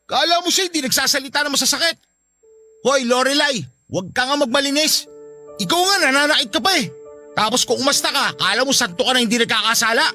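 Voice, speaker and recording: A woman's voice: high voice, fast talker, bright, forceful tone, faint music behind. A woman playing a lazy, defensive young Filipino husband in a radio drama, arguing in a whiny, sarcastic way. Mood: indignant.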